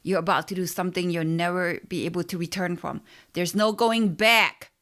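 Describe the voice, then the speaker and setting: Stern old man, shouting slow warnings, read by a woman. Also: on the low side, steady tone, lightly acted. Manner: loud, drawn-out shouting.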